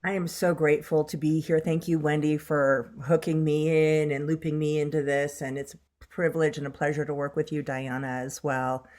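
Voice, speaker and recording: An older woman, an actress and workshop leader, speaking in a measured, heartfelt way on a video call. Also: sits low. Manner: measured, heartfelt, even-toned.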